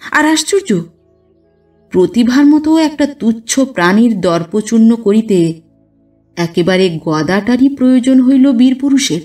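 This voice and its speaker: Female narrator for literary audio fiction, fairly high voice, measured and expressive, slightly off-mic. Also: distant mic. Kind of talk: scripted literary narration of a classic story. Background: background music.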